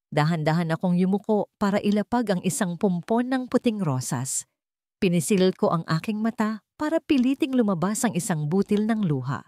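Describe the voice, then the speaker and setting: A woman's voice: mid-range voice, dry recording, clean studio sound. A woman with a Filipino accent reading a scripted story in the first person as a dramatic audiobook narrator.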